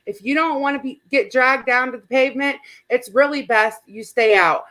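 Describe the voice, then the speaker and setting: A woman with a fairly high voice hosts an informal, gossipy chat. She talks casually and reads comments aloud with a giggly manner. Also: normal speed, a bit bright. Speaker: host.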